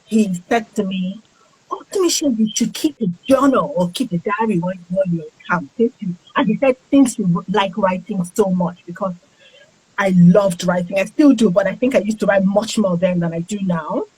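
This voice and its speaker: A woman's voice: mid-range voice, normal speed, a little mellow, slightly rough, poor audio. A woman author being interviewed on a casual livestream. She is friendly and keeps greeting viewers as she talks. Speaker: author, guest.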